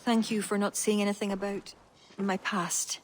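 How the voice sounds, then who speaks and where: A woman plays an admiring court poet in a game cutscene. She speaks sincerely and gently at a middle pitch. Faint noise lies behind her. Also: flat delivery, normal speed, close to an everyday voice, low-quality recording. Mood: grateful, admiring. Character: grateful, admiring young female court poet. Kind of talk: video game cutscene acting.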